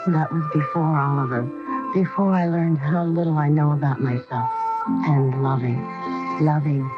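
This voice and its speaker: A woman's voice: sits low, steady tone, moderate pace, close to an everyday voice. An actress playing a romantic, once-heartbroken woman confiding about love in a dramatized radio advertisement. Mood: wistful. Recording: dull AM radio with music.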